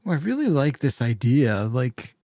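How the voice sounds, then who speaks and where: A man's voice: medium pitch, sing-song, normal speed, dark tone, no echo. A man hosting a podcast, chatting casually into a close microphone. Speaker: podcast host. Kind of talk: casual chat. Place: indoor room, close studio mic. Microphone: microphone on boom arm, headset.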